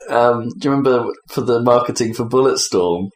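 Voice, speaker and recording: A man's voice: medium pitch, fairly quick, a little hiss or hum. A man hosting a casual gaming chat, asking questions and thinking aloud. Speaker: podcast host. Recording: echoey room.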